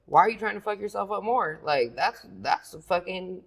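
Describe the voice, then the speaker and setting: A woman with a deep, raspy, sleepy-sounding voice, talking about singing as a podcast guest in loose, profane casual chat. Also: lively intonation, normal speed. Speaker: singer guest.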